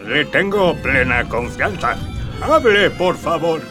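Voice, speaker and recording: An actor playing a capricious, self-serving, pompous emperor in a comic radio drama, with haughty theatrical delivery. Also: male voice, a little high, animated, takes it slowly. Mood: haughty, indulgent. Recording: music behind the voice.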